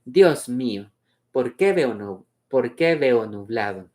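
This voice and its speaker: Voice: mid-range voice, expressive, slow, a little mellow. A story reader voicing an anxious, devout mother who worries and reassures. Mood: frightened. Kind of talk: storybook read-aloud dialogue.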